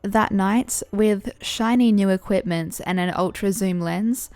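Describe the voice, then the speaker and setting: Woman reading fan fiction out loud, expressive storyteller, medium pitch, music low in the back. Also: a little slow, no echo. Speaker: narrator and host. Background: background music.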